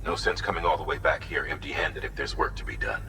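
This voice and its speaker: An actor playing an authoritative, businesslike commander giving mission instructions in a video game. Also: male voice, very deep voice, fast talker, far from the mic, noisy background. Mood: urgent, directive. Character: commanding male superior directing a courier's mission.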